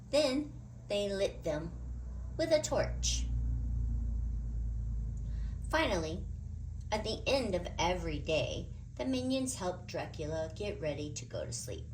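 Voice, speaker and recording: A woman reads a children's story aloud with a very lively, swooping delivery. She takes her time and leans on words for dramatic effect. There is some noise in the background. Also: lowish voice, poor audio. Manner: expressive, dramatic emphasis. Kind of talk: animated read-aloud story narration.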